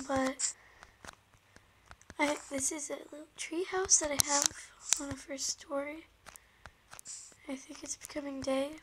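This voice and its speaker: A woman with a high, scratchy voice from a sore throat talks slowly through her game, pausing on ums and slipping into soft whispers. Heavy background hiss and tapping clicks sit under the recording. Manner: hesitant, many ums, drifting into soft whispers. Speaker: gameplay commentator. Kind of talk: casual game commentary.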